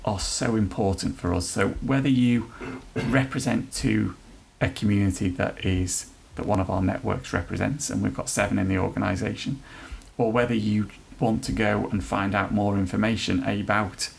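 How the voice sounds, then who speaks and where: A man who leads an NHS trust's inclusion work, answering podcast questions in an earnest, halting way with many restarts. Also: fairly low pitch, steady tone, vocal fry, husky. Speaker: NHS trust leader chairing an inclusion council.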